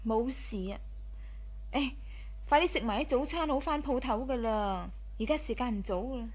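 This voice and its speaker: An actress playing a gentle, devoted and coy young wife in a radio drama, soothing in manner. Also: female voice, a little high, normal speed, lightly acted. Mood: gentle, reassuring. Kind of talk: Cantonese radio drama acting.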